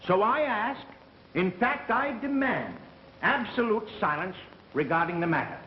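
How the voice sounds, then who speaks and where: A self-important, blustering executive in an old mystery picture. His high voice swoops as he orates formally. The room echoes around him. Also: lively intonation, measured pace. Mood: pompous, stern. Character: pompous, blustering radio company president. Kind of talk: 1930s mystery film acting. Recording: echoey, muffled, hissy 1930s film soundtrack.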